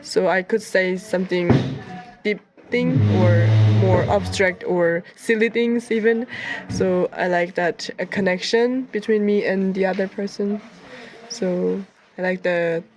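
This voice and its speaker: Young woman, English, slow, halting, lots of fillers, student interview. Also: fairly low pitch, steady tone, a little mellow, faint music behind. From South Korea. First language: Korean. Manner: halting, searching for words with many fillers. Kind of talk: reflective interview answer.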